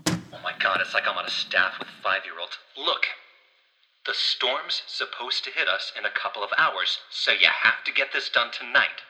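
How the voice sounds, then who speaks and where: Grumpy crew boss in an audio drama, very sharp forceful voice on the low side, curt and sarcastic. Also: male voice, lively intonation, moderate pace, poor audio. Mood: exasperated. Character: gruff, exasperated male boss of a remote watchtower crew.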